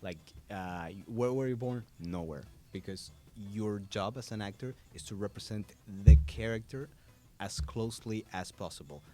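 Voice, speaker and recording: A male voice actor answering interview questions in a podcast studio, measured and thoughtful with pauses. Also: lowish voice, dark tone. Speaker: voice actor and audiobook narrator. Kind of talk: conversational interview. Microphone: studio mic.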